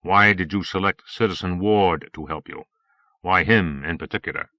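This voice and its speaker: A man's voice: low voice, moderate pace, pushed and bright, no echo, dull audio. A man voicing a suspicious detective sergeant in an audiobook, harsh, probing and formal. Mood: cool, formal. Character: stern, suspicious male police detective sergeant. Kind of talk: audiobook character acting.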